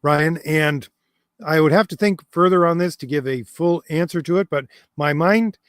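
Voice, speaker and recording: A man's voice: on the high side, moderate pace, smooth, no room sound, studio quality. A man hosting a long chat stream, hesitant and full of fillers, who says he is losing his voice. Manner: hesitant, many fillers and restarts, reads comments aloud. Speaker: host answering viewer comments. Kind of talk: casual livestream chat.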